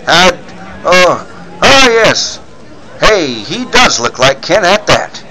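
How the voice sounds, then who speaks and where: A man playing a gruff, skeptical newspaper editor, scolding and authoritative, in 1940s radio style. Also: high-pitched, big pitch swings, slow pace, sharp tone, pitched above the performer's own voice, full character voice, low-quality recording. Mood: surprised. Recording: clear stadium crowd noise behind.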